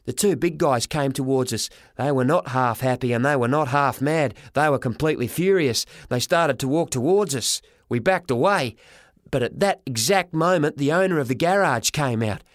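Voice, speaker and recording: A man narrating a children's story in the first person as an expressive audiobook reader. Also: medium pitch, moderate pace, dry recording, studio quality.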